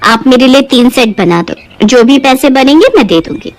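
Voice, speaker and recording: A woman voicing a friendly, chatty city customer with a brisk, courteous manner in a Hindi moral-story cartoon. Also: sits high, animated. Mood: friendly, requesting.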